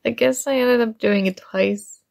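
A shy, nerdy young female classmate, acted by a woman in an ASMR romance roleplay, talking very slowly with halting awkwardness. Her voice sits a little high with a creaky edge. She sounds flustered and smitten, in a close, dry recording. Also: a little mellow, no echo. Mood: tender, sincere.

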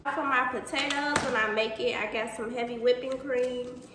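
A woman's voice: fairly high pitch, fairly even intonation, normal speed, a bit bright. A young woman talking viewers through a home cook-along in casual, chatty speech, calling them y'all and boo. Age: young adult. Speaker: cooking vlogger. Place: home kitchen, indoors. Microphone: camera on counter, at a distance. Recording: echoey kitchen with noticeable background noise.

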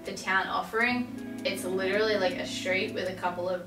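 A woman's voice: mid-range voice, fairly even intonation, brisk, distant mic, over music. A young woman chatting as a guest on a casual podcast from a living room sofa, joking with lots of filler "like". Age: young adult. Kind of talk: casual chat. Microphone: far camera mic. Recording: roomy sound from across the room.

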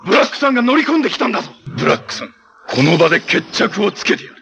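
A man's voice: high-pitched, big pitch swings, rapid-fire, vocal fry, sharp tone, lo-fi sound, heavily acted. A man playing a haughty armored villain from a tokusatsu TV show, heir to an evil empire, making grandiose, commanding, theatrical declarations. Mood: threatening. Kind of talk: Japanese tokusatsu TV villain acting. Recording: clip from 1980s TV with music behind.

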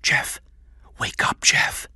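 A man voicing a determined, uneasy wife in an audiobook, insistent and urgent, often hushed. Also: lowish voice, very animated, normal speed, slightly rough, no room sound, studio quality, voice pushed up, heavily acted. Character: earnest, determined woman, a crook's uneasy wife. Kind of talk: audiobook character acting.

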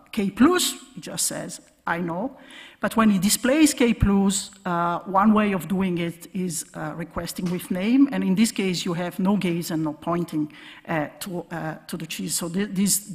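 A woman giving an academic keynote lecture from a podium, explaining in a halting way with repeated words and hesitations. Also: medium pitch. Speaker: keynote speaker, academic researcher. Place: large conference hall stage, at podium. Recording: reverberant lecture hall sound.

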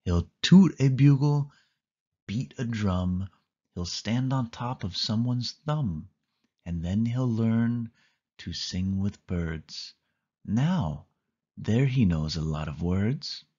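A man reading a children's picture book aloud in a slow, sing-song storytelling manner. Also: lowish voice, lively intonation, dark tone, slightly rough, muffled recording. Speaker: storybook reader. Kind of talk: children's read-along narration.

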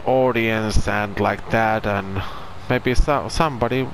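A man's voice: on the low side, steady tone, slow pace, a little hiss or hum. A man with a Finnish accent, a non-native English speaker, doing casual commentary over farming gameplay and searching for his words. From Finland. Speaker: gaming channel host.

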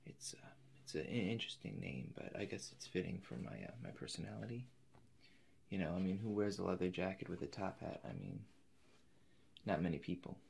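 A man in his twenties in a soft-spoken ASMR roleplay as an eccentric, polite painter, halting and slipping into whispers. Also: sits low, lively intonation, creaky. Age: 20s. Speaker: ASMR performer. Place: indoors in front of a forest backdrop.

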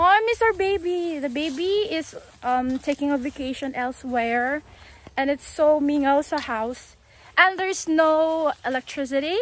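High-voiced young Filipino woman vlogging outdoors. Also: a little hiss or hum, poor audio. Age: young adult. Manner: code-switches between English and Filipino languages. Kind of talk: casual, spontaneous vlog chat. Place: outdoors on a rural farm. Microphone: phone at arm's length.

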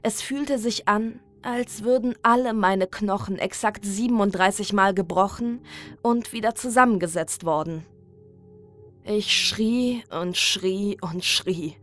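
Slow-paced woman narrating horror fiction. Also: medium pitch, fairly bright tone, faint music behind. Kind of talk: scripted first-person horror story narration.

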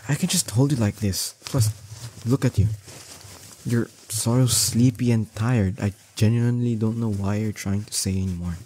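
A young man playing a strong, doting boyfriend in an intimate roleplay audio drama, coaxing and teasing affectionately with drawn-out words. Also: lowish voice, a little mellow, a little hiss or hum, lightly acted. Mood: gently insistent. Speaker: voice actor.

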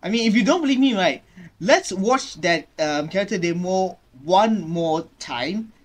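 A man in his twenties giving casual, halting reaction commentary, often repeating his phrases, recorded in a small bedroom. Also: high-pitched, animated. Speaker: reaction video host. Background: game trailer music.

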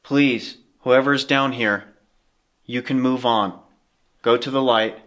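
Young guy doing a paranormal vlog in a cave, earnest, sweary, mid pitched voice. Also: fairly even intonation, slow pace, fairly bright tone. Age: young adult. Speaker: paranormal investigator host. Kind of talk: casual ghost-hunting vlog talk. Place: inside a dark cave at night.

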